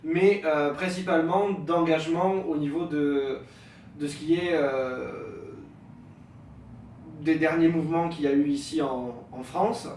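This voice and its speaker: A man's voice: a little high, unhurried, a little mellow. A young man hosting a casual podcast, hesitant, with many fillers and restarts, and stuffy from a head cold. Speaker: podcast host. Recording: echoey room, mic at a distance, faint noise.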